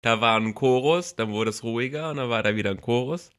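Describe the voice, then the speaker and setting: A man's voice: medium pitch, fast, a bit bright, clear, resonant tone. A young man co-hosting a casual podcast, playfully teasing his co-host. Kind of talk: casual chat.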